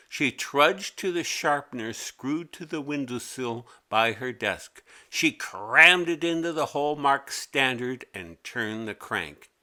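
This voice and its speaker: A man narrating a scripted children's story in an expressive, deliberate way. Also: medium pitch. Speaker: author narrating his own children's story.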